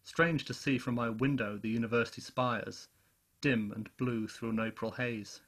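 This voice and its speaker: A man's voice: mid-range voice, steady tone, normal speed, close to an everyday voice. Grave man acting as a professor narrating a catastrophe. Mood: reflective, solemn. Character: solemn male professor recounting a Martian invasion he survived. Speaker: actor narrating a radio play. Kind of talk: dramatic radio-play narration.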